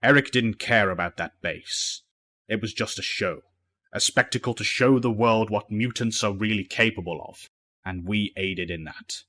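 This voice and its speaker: A man playing a stern, protective professor and mentor in an audio drama, speaking in a measured, formal, authoritative way. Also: lowish voice, sharp tone.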